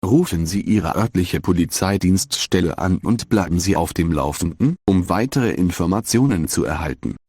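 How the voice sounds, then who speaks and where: Male government announcer, deep, husky, stern, formal emergency broadcast, dry sound. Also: lively intonation, moderate pace, few pauses, a little mellow, dry recording, heavily acted. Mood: formal warning.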